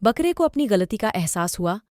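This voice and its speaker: A woman's voice: medium pitch, big pitch swings, moderate pace, a little mellow, no echo, studio quality. A woman narrator reading a scripted children's cartoon story.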